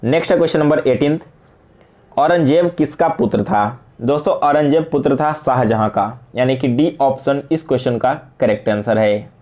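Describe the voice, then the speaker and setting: A man's voice: mid-range voice, moderate pace, darkish tone. A man with an Indian accent teaching exam prep, walking students through quiz questions and answering them with explanations, mixing in English terms.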